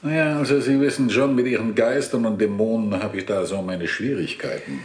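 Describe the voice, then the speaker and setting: An actor playing a hesitant, sceptical forensic doctor who mutters haltingly in a German audio drama. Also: male voice, fairly low pitch, reverberant, lightly acted. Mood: hesitant scepticism.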